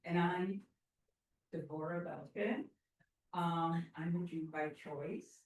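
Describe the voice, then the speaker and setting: A woman introducing herself slowly with fillers and a light joking touch, recorded from far off in an echoey room. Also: fairly low pitch, steady tone. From United States. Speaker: class participant. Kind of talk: casual self-introduction.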